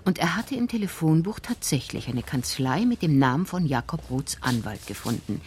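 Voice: medium pitch, sing-song, moderate pace, darkish tone, a little hiss or hum. Composed literary crime drama narrator.

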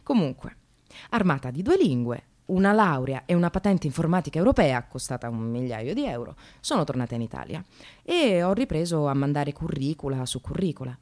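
Ironic woman worker, very animated. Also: low-pitched, brisk. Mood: wry, confiding. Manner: wry, confiding, expressive. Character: ironic, anxious precarious woman worker recounting her life. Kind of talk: theatrical radio monologue. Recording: radio play with music behind.

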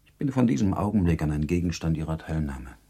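A man's voice: a bass voice, very animated, fast talker, warm tone, breathy edge, heavily acted. A man playing a stern, proud, brooding baron in a radio drama, speaking with formal control and insistence. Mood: bitter, insistent. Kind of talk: German radio drama acting.

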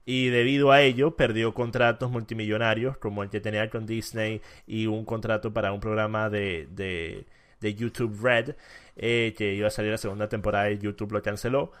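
A man's voice: on the low side, fairly even intonation, normal speed, fairly bright tone. A man from Venezuela hosting a debate, arguing assertively and emphatically. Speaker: debate host. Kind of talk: casual debate.

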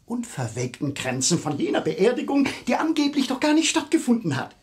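A man's voice: extremely high pitch, wildly swooping pitch, fairly quick, exaggerated voice. An actor playing an agitated, stubborn married man in a radio crime drama, insistent and suspicious in his delivery.

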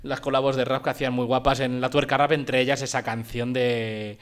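A man's voice: mid-range voice, flat delivery, brisk. A man with a Spanish accent from Spain hosting a radio show, chatting informally and drifting into asides as he presents music. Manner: informal, digressive. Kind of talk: casual chat, presenting music.

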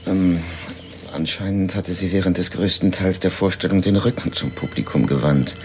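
An actor playing a subordinate police detective who reports in a hesitant, matter-of-fact way in an audio drama. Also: male voice, sits low, normal speed, a little mellow, a little hiss or hum, close to an everyday voice. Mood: hesitant, dry.

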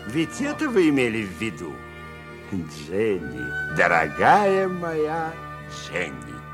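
An actor playing an emotional, courteous older gentleman in a sentimental 1960s Soviet radio play. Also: lowish voice, wildly swooping pitch, slow pace, full character voice. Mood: tender, conciliatory. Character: emotional older gentleman amateur astronomer, an uncle. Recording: old radio recording with music.

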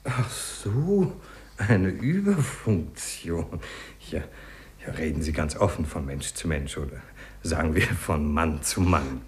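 A man's voice: low voice, sing-song, takes it slowly, full character voice. An actor playing an affable, self-assured male doctor in a 1950s radio play, courteous and probing. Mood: affable, professional.

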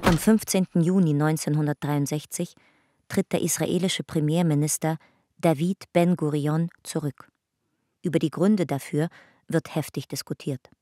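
Low, mellow woman narrating a radio drama. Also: dry recording, studio quality. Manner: calm, measured reading.

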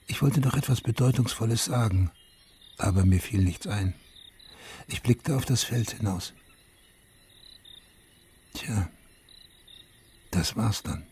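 An actor playing a haunted middle-aged veteran and father, narrating his story in a radio drama in a subdued, measured, reflective way with a husky voice. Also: lowish voice, dark tone, slight background noise, natural voice. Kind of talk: literary first-person radio drama narration.

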